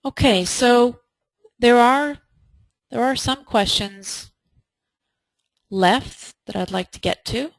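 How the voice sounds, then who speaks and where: A woman's voice: fairly high pitch, takes it slowly, pauses often, no room sound, rough recording. A woman presenting a webinar as an instructor, answering questions emphatically and often posing rhetorical questions of her own. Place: indoors, online webinar.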